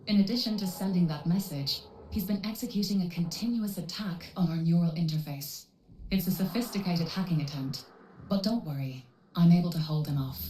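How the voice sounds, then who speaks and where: A woman playing a composed, coolly corporate AI handler in a video game, speaking politely in measured, clinical, formal phrases. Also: lowish voice, mellow, slight background noise, close to an everyday voice. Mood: calm, guarded. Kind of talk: scripted video game cutscene dialogue. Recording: roomy processed echo, distant, music underneath.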